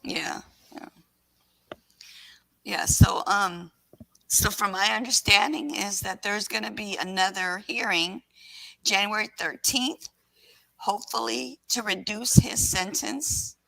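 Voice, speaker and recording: A woman hosting a casual livestream in a raspy voice, sick with a scratchy throat and talking in a rambling, halting way. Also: mid-range voice, fairly bright tone. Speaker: livestream host.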